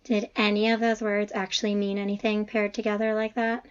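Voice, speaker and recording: A woman's voice: medium pitch, level tone, brisk, smooth, muffled recording, lightly acted. A woman playing a skeptical, plain-spoken professor in an audio drama, casual and full of questions. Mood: skeptical, puzzled.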